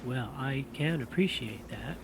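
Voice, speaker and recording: A man's voice: medium pitch, unhurried, vocal fry, a little hiss or hum. A man playing a puzzled, wary husband and prospective client in an audio drama, speaking hesitantly and questioningly. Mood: polite, curious.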